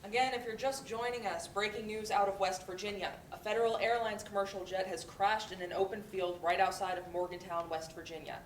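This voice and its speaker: A woman's voice: mid-range voice, fairly even intonation, fairly quick, few pauses, far from the mic, lightly acted. A composed female radio news anchor, played by an actress in a stage play, delivers a bulletin formally. The voice is echoey and set back from the mic, with faint noise. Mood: formal, urgent.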